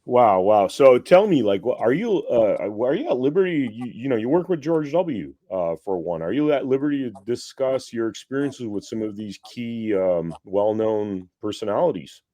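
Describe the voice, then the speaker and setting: A man hosts a podcast interview in a mellow, dark voice. He is recorded dry and close, and his talk is hesitant, full of fillers and restarts. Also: mid-range voice, animated, normal speed, hardly any pauses, no echo. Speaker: podcast host. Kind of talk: casual interview hosting.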